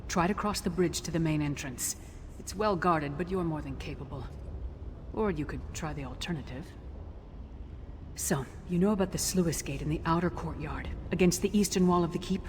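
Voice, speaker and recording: An actress playing a tough, battle-hardened warrior scout in a video game, blunt, commanding and dry. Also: female voice, low-pitched, a little mellow, slight background noise, close to an everyday voice. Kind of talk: video game quest dialogue.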